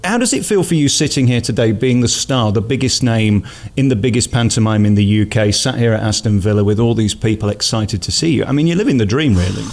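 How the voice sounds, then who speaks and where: A man's voice: fairly low pitch, expressive, fast, darkish tone. An English man interviewing a guest casually for radio, cheeky and blunt while also gushing with praise.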